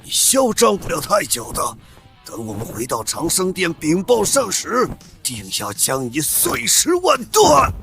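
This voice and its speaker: Male actor as sect henchman, very high voice, agitated, animated fantasy drama, music behind. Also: unhurried, gravelly, exaggerated voice. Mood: menacing. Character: panicky, threatening male cultivator henchman of an evil sect. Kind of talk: animated xianxia drama dubbing.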